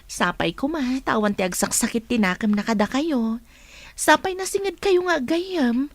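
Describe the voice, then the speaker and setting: A woman's voice: on the high side, animated, moderate pace, no echo. A woman acting a chatty, teasing office colleague in a radio drama. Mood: prying.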